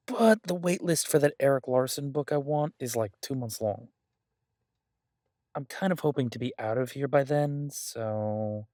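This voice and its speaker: A man performs a mafia boss cooped up and restless, doting on the one he talks to. His medium-pitched voice is recorded very dry, with no room around it. He speaks conversationally, with playful exasperation and a swearing gangster's swagger, as in an intimate audio roleplay. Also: darkish tone, no echo, lightly acted. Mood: casual, sheepish. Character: male mafia boss, doting, restless, stuck baking in a safe house.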